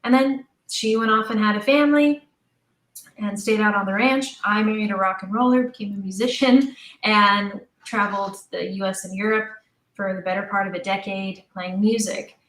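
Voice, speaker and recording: Middle aged woman chatting away telling her story, casual and animated, medium pitch, echoey room. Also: normal speed. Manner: chatty, animated retelling with quoted dialogue. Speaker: interview guest, audio drama creator. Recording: roomy, echoing sound.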